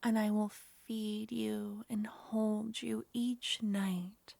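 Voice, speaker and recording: A woman voicing a clingy, menacing yandere witch in an ASMR roleplay, speaking in a soft, coaxing whisper. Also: mid-range voice, flat delivery, very slow, vocal fry, dry recording, exaggerated voice.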